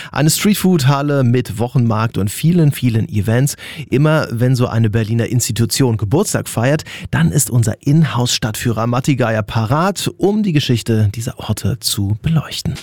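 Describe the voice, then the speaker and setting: A man's voice: medium pitch, a little mellow, dry recording, studio quality. A man presenting casually as a music radio host.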